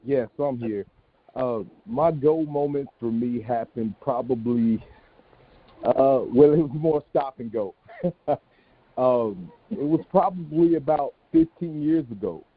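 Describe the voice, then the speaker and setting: A man's voice: medium pitch, slow, husky. A middle-aged man, a stage actor, giving a candid, reflective interview answer as a guest. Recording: tinny phone-like online call.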